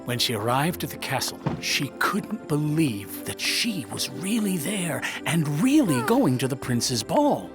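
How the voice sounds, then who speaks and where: A man narrating a scripted children's fairy tale in an expressive, dramatic storytelling manner. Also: a little high, measured pace, hardly any pauses. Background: music.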